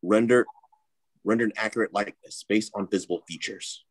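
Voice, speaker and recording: A man acts a brooding superhero from an animated film script. The voice is deep and raspy, with short, halting, grave phrases. It sounds dry, with no room around it. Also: no echo, close to an everyday voice, low-quality recording. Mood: commanding. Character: brooding, troubled adult male superhero, grim and terse.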